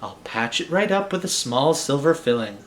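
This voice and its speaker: A man voicing a cheerful female dentist, sweet and lilting, gently scolding in a storybook tale. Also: a little high, very animated, moderate pace, slight background noise.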